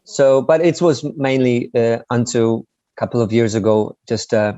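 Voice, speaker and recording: A man from Poland, chatting as a guest in an interview in a relaxed, self-deprecating way with many fillers. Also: mid-range voice, moderate pace, dry recording. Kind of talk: casual interview chat. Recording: dry, slightly dull sound.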